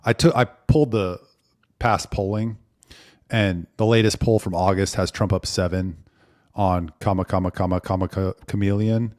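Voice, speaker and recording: A man co-hosting a casual political podcast, talking through poll figures in a measured way. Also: deep voice, steady tone, darkish tone, no room sound, clean studio sound. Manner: measured, reciting poll numbers. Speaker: podcast co-host.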